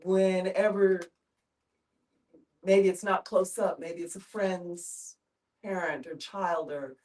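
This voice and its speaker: A woman's voice: lowish voice, steady tone, slow, a little mellow. A woman sharing personal reflections in a group discussion. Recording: voice picked up from a distance.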